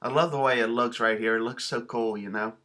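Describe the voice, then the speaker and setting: Bright-voiced man, casual vlogger. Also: medium pitch, fairly even intonation, brisk. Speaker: video host showing a cassette collection. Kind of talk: casual show-and-tell vlog.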